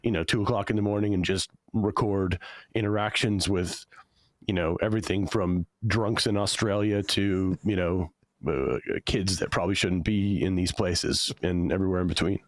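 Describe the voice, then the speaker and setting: A man guest on an interview show, hoarse from losing his voice, telling dry, deadpan jokes with lots of ums. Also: deep voice, moderate pace, dry recording. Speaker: guest, comedian and author. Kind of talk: casual interview chat. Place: home setup, standing.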